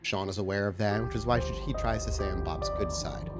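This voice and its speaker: A man improvising narration as game master of a tabletop game, with a halting delivery full of repeated words. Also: on the low side, loud music behind the voice. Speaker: game master of an actual play podcast.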